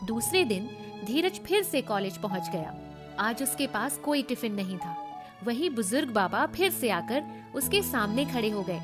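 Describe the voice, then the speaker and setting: A woman's voice: on the high side, sing-song, moderate pace, over music. A woman narrating a scripted moral bedtime story for children as the storyteller.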